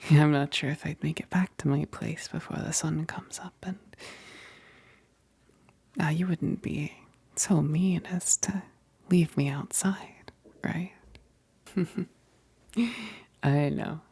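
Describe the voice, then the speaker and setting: A woman playing a teasing, affectionate, wistful vampire best friend in a soft, breathy whispered ASMR roleplay, speaking hesitantly. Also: low-pitched, steady tone, exaggerated voice. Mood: playful pleading. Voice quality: breathy, husky whisper with gravelly edges. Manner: slow, hesitant, punctuated with soft chuckles.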